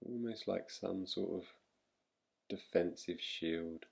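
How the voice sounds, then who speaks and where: A man guiding a relaxation meditation in a soothing, unhurried manner, leaving long pauses between short phrases. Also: low voice, vocal fry, husky. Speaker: meditation guide. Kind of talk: guided relaxation meditation, yoga nidra.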